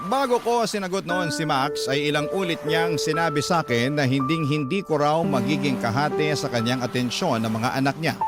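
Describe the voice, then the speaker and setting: Filipino man narrating over loud music. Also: on the high side. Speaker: radio host reading a listener's letter. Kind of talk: dramatic scripted narration. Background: prominent music bed.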